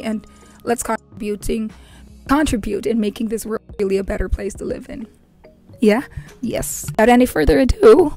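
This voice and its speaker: A young woman hosting a reaction video, talking casually to the camera in a hoarse voice, playful and rambling, into a handheld microphone in a small dark room. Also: fairly high pitch.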